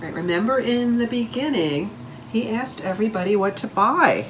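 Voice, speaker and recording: Woman, children's story-time reader. High-pitched, very lively intonation, unhurried, interactive. Clearly noisy recording. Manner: sing-song, prompting listeners with questions and counting. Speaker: children's librarian reading a story aloud. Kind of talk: interactive picture-book read-aloud for young children.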